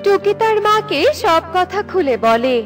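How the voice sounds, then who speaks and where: A woman's voice: very high voice, animated, unhurried, over music. A woman narrating a children's fairy tale in a scripted storytelling style.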